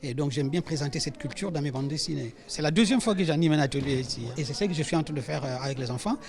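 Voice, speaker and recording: Hesitant higher-voiced man. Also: fast, creaky, a crowd in the background. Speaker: comic book illustrator. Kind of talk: interview.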